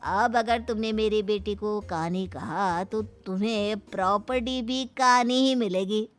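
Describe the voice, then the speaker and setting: A woman voicing a scheming middle-aged father of a bride in a cartoon moral story, taunting and threatening with drawn-out emphasis. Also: mid-range voice, lightly acted. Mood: smug. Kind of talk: animated moral story dialogue.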